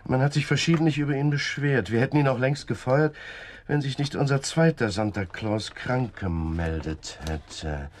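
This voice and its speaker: An actor playing a fussy, talkative department store manager in a radio drama. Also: male voice, medium pitch, moderate pace, hardly any pauses, slight background noise, lightly acted. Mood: fussy, eager.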